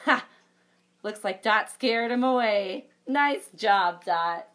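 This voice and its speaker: A woman in her thirties reading a picture book aloud to children, expressive, with chatty asides to young listeners. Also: medium pitch, slow. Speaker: library story time presenter.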